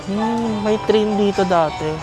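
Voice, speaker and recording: A woman's voice: medium pitch, a little slow, low-quality recording. A woman with a Filipino accent chatting casually and playfully in short remarks, as a travel vlogger. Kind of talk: casual vlog chat mixing Tagalog and English. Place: out and about in eateries and city streets. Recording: background music, crowd and street noise.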